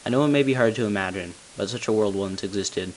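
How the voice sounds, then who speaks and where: Low-voiced, solemn bell ringer, played by a man. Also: fast talker, lightly acted. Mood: solemn, explanatory. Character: tall, solemn male bell ringer revealing long-kept secrets. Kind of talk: scripted character narration, amateur game voice-over. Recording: hissy, noisy amateur home recording.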